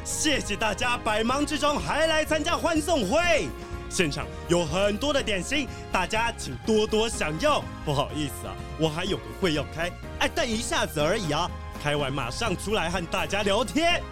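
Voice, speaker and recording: A man's voice: high-pitched, very animated, normal speed, over music. A man playing a boastful, cheerful animal diplomat and sports champion in a children's detective audio drama, chatty and full of exclamations. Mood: cheerful, sociable.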